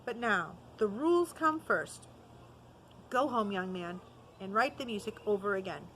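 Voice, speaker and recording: A woman reading the part of a stern but kindly old male music master in a storybook, in a firm, measured way. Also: mid-range voice, lively intonation, a bit bright, slight background noise.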